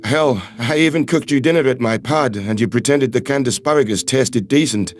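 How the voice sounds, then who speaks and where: A man's voice: mid-range voice, normal speed, voice pushed down, close to an everyday voice. A man voicing an earnest, lovestruck human ambassador in a sci-fi audio drama, speaking in a heartfelt, pleading way. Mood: pleading, romantic. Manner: pleading, heartfelt, occasional swearing.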